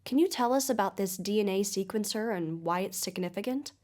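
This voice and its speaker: Woman acting as a podcast investigator, earnest and probing, measured, mid-pitched voice, audio drama. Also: a little mellow, natural voice. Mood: inquisitive. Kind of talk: scripted investigative narration and interview.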